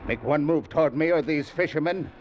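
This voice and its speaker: An actor playing a smug, pompous escaped-convict ringleader in a 1940s radio drama, speaking with slow, sneering menace. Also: male voice, fairly high pitch, lightly acted. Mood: commanding. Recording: dull, hissy old radio broadcast.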